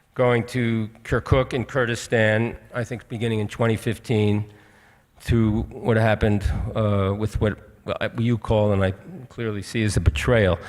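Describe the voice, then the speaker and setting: An older man with an American accent hosts a live on-stage conversation. His voice is fairly low and earnest, and he rambles with halting phrases. Hall reverb surrounds him. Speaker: interviewer, moderator. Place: auditorium stage.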